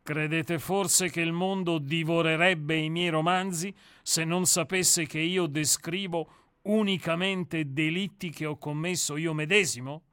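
Brief: man voicing a scornful, self-assured murderer-novelist for a radio play; slow, bright, smooth delivery. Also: fairly high pitch, fairly even intonation, no echo, clean studio sound. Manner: lecturing, sardonic, self-assured. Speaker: character in a radio play reading. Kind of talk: radio drama reading.

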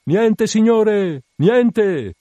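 Man as eager sailor lookout, storybook character, high loud call, deferential, dry close sound. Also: expressive, drawn-out words, dry recording, lo-fi sound, exaggerated voice. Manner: calling out loudly, deferential. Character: eager male whaling lookout and sailor reporting to captain.

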